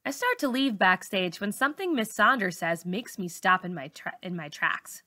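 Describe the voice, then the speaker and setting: A woman reads a children's novel aloud in a bright, forward voice at a medium pitch. She narrates in the first person, as a teacher reading to students. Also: sing-song, moderate pace.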